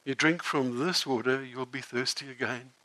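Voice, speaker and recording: A man voicing a calm, gentle male teacher, speaking softly and in a measured way. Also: mid-range voice, no echo, pitched below the performer's own voice, natural voice, rough recording. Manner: soft, measured and unhurried.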